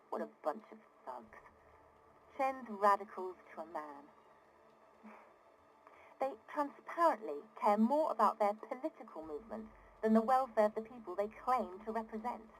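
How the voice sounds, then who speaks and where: A fairly high female voice plays an exasperated founder of an ocean city, recording a private diary in a video game. She is earnest, privileged and indignant, stressing her points in articulate phrases. Clear noise sits behind the recording. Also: rough recording. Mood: bitter, weary. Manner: indignant, articulate, emphatic, with weary sighs. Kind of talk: dramatic video game audio diary acting.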